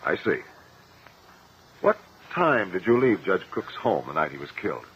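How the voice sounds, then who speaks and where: Muffled old radio courtroom lawyer, expressive. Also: male voice, low-pitched, a little hiss or hum. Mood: formal, measured. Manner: formal, measured, probing. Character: calm, methodical male lawyer questioning witnesses in court. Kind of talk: 1950 radio courtroom drama acting.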